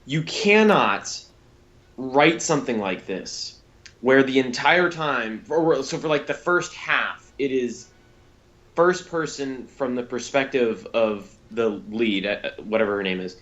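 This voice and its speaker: A man's voice: mid-range voice, expressive, slow pace, fairly bright tone. A man co-hosting a podcast, giving casual critique in a rambling, emphatic way on slightly lo-fi audio. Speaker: podcast co-host and reviewer.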